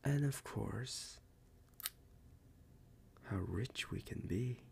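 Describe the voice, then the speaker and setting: A man voicing a possessive, smug werewolf alpha in an ASMR roleplay, husky and breathy, often whispering, with teasing deliberate pauses. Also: medium pitch, expressive, slight background noise, full character voice. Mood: smug, doting.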